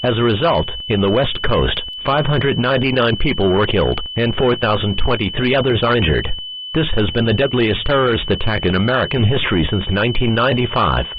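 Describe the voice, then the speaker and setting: A synthetic male voice of middling pitch reads a scripted emergency alert. It sounds like a dull, lo-fi radio broadcast, buried under very loud hum and noise. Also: lively intonation, fairly quick.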